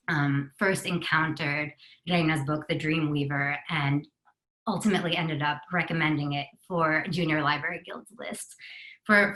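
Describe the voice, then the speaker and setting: A woman co-hosts, winding through her points with lots of ums. Her voice is low and bright, in a roomy, echoing space. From Mexico. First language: Spanish. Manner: rambling, filler-heavy. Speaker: editor and co-host.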